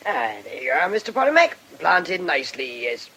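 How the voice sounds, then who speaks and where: A man's voice: a little high, sing-song, brisk, pushed and bright, a little hiss or hum, full character voice. An actor playing a chatty, deferential working man with folksy rustic phrasing in a radio drama. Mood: chatty, satisfied.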